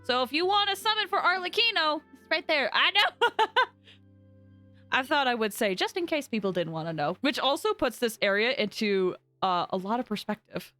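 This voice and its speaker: A woman giving chatty, expressive, casual commentary as she livestreams a video game. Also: high-pitched, moderate pace, no room sound, soft background music. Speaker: game streamer.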